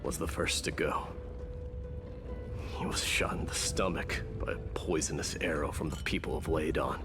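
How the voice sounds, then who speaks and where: Deep, grave warrior prince. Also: male voice. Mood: solemn grief. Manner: solemn, measured storytelling. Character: grave warrior prince recounting his fallen comrades. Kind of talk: dramatic game voice acting. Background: music.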